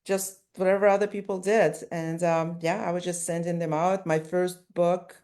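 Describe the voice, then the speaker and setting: A woman's voice: on the low side, flat delivery, normal speed, warm tone. A woman whose first language is Russian, an author-illustrator answering interview questions thoughtfully, often restarting her sentences. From Ukraine.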